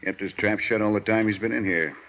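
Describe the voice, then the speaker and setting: An American actor playing a gruff, matter-of-fact policeman, terse and slangy, in a vintage radio drama. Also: on the low side, fairly even intonation, fairly quick, close to an everyday voice. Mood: flat, puzzled. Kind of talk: 1950s radio acting. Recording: muffled vintage radio recording, loud noise.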